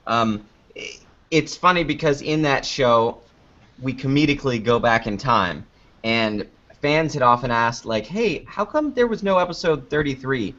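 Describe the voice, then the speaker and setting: A man's voice: mid-range voice, animated, a little slow, a bit bright. A man giving casual, rambling interview answers as a guest, informal and often interrupting himself mid-sentence. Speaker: interview guest, podcast creator. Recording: dull lo-fi online call audio.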